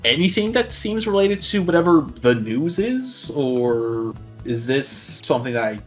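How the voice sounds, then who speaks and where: A man's voice: on the high side, sing-song, unhurried, soft background music. A man playing a tabletop roleplaying game, narrating his character's actions in a casual, improvised way.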